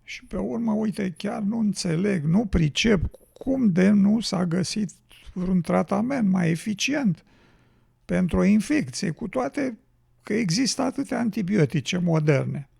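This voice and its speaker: A man plays a worn-out, ailing road builder telling his story in a radio drama. His voice is high for a man, extremely creaky and rough with husk. He sounds plaintive and musing. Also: animated, a little mellow, heavily acted. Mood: grumbling. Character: weary, ailing male road builder, scornful of nature. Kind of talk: educational radio drama monologue.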